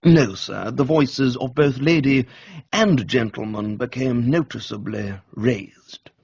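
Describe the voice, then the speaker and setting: A formal, deferential English valet, acted by a male reader, speaks with refined, measured diction. His husky voice stays unruffled and low-key, as suits an erudite manservant in a radio storybook. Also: darkish tone, dull audio, full character voice. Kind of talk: dramatised audiobook reading.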